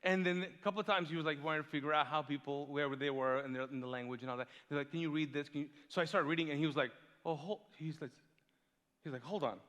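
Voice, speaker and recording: Pastor guy preaching, kinda high voice, big loud-soft swings, lots of questions to the crowd, long hall echo. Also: quick pace. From Kuwait. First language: Urdu. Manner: animated storytelling, big swings in loudness, rhetorical questions. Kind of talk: sermon. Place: large church hall.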